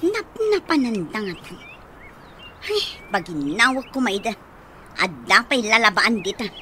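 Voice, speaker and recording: An actress playing a grumbling, worried guardian who is hard on children, in a radio drama. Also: female voice, mid-range voice, very animated, measured pace, sharp tone, faint music behind. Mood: irritated, worried. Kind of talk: Ilocano radio drama acting.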